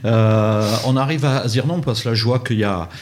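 A man's voice: medium pitch, fairly quick, a little hiss or hum. A man hosting a radio interview, rambling and jokey, with many fillers and restarts. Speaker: radio host.

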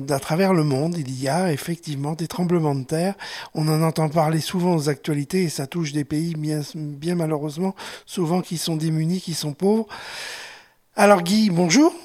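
A man hosting a radio talk show, speaking in a relaxed, chatty way with fillers while he has a cold. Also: on the high side. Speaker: radio host.

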